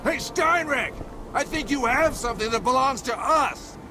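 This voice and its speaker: An actor playing a cocky, sarcastic adventurer hero with an American accent in a video game cutscene. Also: male voice, very high voice, moderate pace, background noise. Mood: defiant. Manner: sarcastic, irreverent. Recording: loud music behind the voice.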